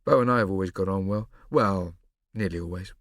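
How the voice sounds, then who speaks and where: A man's voice: deep voice, a little fast, no echo, clean studio sound. A man voicing a proud, protective twelve-year-old boy who speaks earnestly and defensively.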